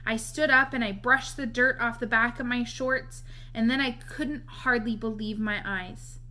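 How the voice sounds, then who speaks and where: A woman reading a children's novel aloud as an expressive storyteller. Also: fairly high pitch, measured pace. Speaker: read-aloud reader.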